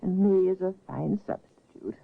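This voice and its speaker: A woman's voice: lowish voice, normal speed, creaky. An actress playing a fond, chatty elderly invalid mother in a 1950s radio drama. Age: older. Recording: tinny restored old radio broadcast.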